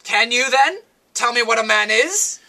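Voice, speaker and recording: Stern female spirit of wisdom, acted by a woman, high-pitched, bright, theatrical, declamatory, lofty teacher, philosophical stage dialogue. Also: lively intonation, a little slow, creaky, exaggerated voice. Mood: probing. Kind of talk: dramatic reading of a philosophical dialogue.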